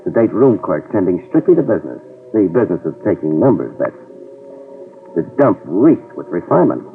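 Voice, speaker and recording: An American actor playing a wry, slangy, fearless New York private eye in 1950s radio style. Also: male voice, low voice, big pitch swings, a little fast, slight background noise. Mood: wry, assured. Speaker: lead actor in a radio detective series. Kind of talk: 1950s radio acting, hard-boiled narration. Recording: tinny, band-limited 1950s radio recording.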